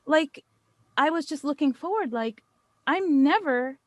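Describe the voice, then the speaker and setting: Animated American woman telling casual anecdotes. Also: sits high, unhurried, dry recording. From United States. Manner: animated storyteller, self-deprecating, acts out quotes. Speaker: podcast guest, voice actor and business owner.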